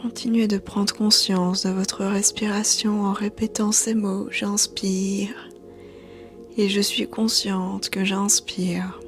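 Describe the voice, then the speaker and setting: A woman yoga teacher guiding a meditation in a soothing, hushed, breathy voice. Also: mid-range voice. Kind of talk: guided meditation narration. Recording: soft background music. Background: quiet music.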